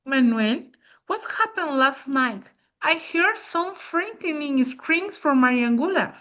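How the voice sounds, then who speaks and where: A woman's voice: high-pitched, lively intonation, measured pace, pushed and bright, exaggerated voice. A woman playing a concerned, reproving neighbor who explains things earnestly in a drama.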